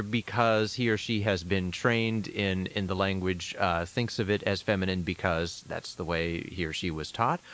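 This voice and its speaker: A man's voice: lowish voice, fairly bright tone. A man interviewing a researcher, asking curious questions and often repeating words while he finds his phrasing.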